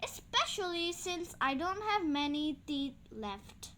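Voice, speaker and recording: A hearty old farmer, put on by a child reader, speaks generously in a storybook. The voice is very high and lively, with an unhurried, jolly delivery. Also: a little hiss or hum, full character voice.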